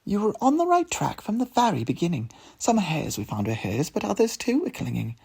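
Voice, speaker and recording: A man's voice: sits high, huge pitch swings, fairly quick, creaky, a little mellow, breathy edge, pitched above the performer's own voice, exaggerated voice. A man voicing a clever female cat sidekick in a storybook, eager and explaining.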